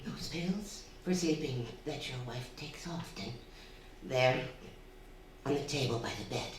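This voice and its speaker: A woman playing a sinister, taunting undead female spirit in a radio drama, with a coaxing, theatrical, drawn-out delivery. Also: rumbling low. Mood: taunting, urging. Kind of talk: stage radio drama acting. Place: theatre stage before a live audience. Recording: very echoey hall, distant, faint noise.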